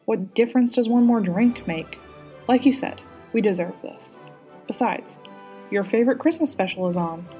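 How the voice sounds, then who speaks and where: A woman voicing a haughty, sharp-tongued, sarcastic Englishwoman in audiobook dialogue. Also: a little high, normal speed, soft background music, voice pushed up, lightly acted. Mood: playfully insistent. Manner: derisive, snorting, cutting.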